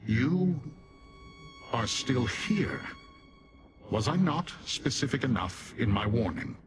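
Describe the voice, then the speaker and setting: A man voicing a proud, stern Dremora demon warrior in a fantasy video game, speaking formally and with measured disdain. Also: medium pitch, big pitch swings, music in the background. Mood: impatient disdain. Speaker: non-player character in fantasy game. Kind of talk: scripted video game dialogue.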